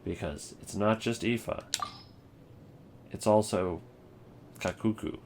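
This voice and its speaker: Low-voiced male commentator, casual and rambling, working out opinions aloud. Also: slow, darkish tone, a little hiss or hum. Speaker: video creator ranking game voice lines.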